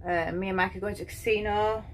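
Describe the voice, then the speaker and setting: A woman's voice: medium pitch, fairly even intonation, quick pace, a little hiss or hum. Chatty woman filming herself on a phone. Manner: chatty, rambling with fillers and little laughs. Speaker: vlogger. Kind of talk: casual travel vlog. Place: small ship cabin, indoors. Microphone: phone at arm's length.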